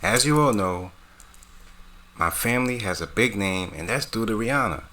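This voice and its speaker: A man from the United States hosting his vlog, talking casually to camera in a relaxed, rambling way. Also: fairly low pitch, moderate pace. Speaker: vlog host. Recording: music playing underneath.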